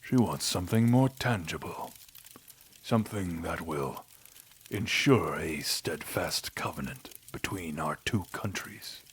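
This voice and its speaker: A man voicing an aging, weary, solemn king in an audio drama, husky and breathy, dropping to a half-whisper as phrases end. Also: low-pitched, expressive, full character voice. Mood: solemn, resolute. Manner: slow, grave, deliberate. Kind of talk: audio drama character acting.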